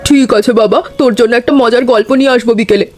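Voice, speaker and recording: A woman acts out a generous village auntie in a cartoon. She is chatty and very high-pitched, talking rapidly over clearly audible music. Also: fairly even intonation, a little mellow, lightly acted. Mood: friendly, chatty. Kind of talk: scripted cartoon dialogue.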